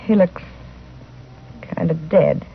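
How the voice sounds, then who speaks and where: A woman's voice: low voice, takes it slowly. A middle-aged American frontier widow, hardened and plain-spoken. She delivers her lines matter-of-factly in 1950s radio drama style. The sound is tinny with faint noise. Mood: stoic, dry. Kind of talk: 1950s radio western acting. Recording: tinny, noisy old radio recording.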